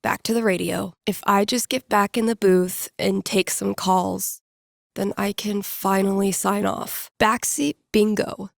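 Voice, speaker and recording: An actress playing a wry, nerdy young record-store clerk and radio DJ in a video game, muttering to herself in a dry, sardonic, conversational way. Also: female voice, medium pitch, steady tone, unhurried, gravelly, dry recording. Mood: casual, preoccupied. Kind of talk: naturalistic video game voice acting.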